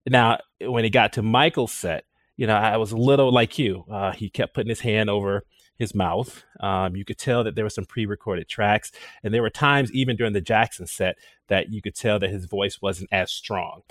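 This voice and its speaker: A man speaking as a guest in a casual roundtable, enthusiastic and halting, with many restarts. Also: lowish voice, dry recording, clean studio sound. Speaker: panel guest.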